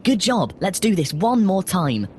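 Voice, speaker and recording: A woman voicing a bold young boy in a fairy-tale audio story, emphatic and expressive. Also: mid-range voice, a little slow, slight background noise. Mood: bold, excited.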